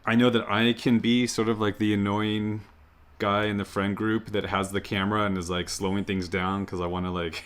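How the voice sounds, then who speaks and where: A man's voice: on the low side, flat delivery, moderate pace. A man asking questions in a casual, informal way, phrasing them loosely and tentatively as he goes. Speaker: interviewer asking audience questions.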